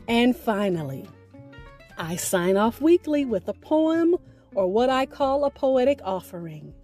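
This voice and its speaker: A woman podcast host addressing her listeners with expressive, deliberate enunciation as she makes sign-off announcements. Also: fairly high pitch, faint music behind.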